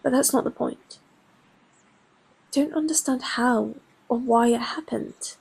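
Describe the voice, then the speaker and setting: An actress playing a grieving young woman, who speaks in a subdued, halting way in an audio drama. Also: on the high side, gravelly, a little mellow, slightly rough, exaggerated voice, poor audio.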